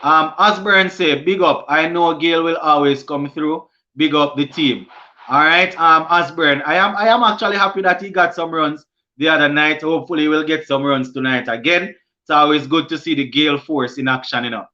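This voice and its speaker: A Jamaican man hosting a casual cricket live stream, reading viewer comments aloud and answering them, often halting and restarting mid-sentence. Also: a little high, sharp tone, highs cut off. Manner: halting, many restarts and fillers.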